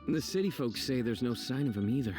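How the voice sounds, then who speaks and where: A man voicing a weary city guard from a video game. He sounds earnest and a little worn down, with a sigh here and there. His pitch rises and falls expressively. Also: animated, fairly quick, over music, natural voice. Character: worried male city guard.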